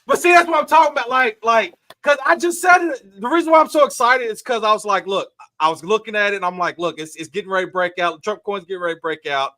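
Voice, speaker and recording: Loud excitable man, rambling fast. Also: high voice, no echo. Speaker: crypto trader guest. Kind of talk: casual group chat. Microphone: desk mic about two feet from face.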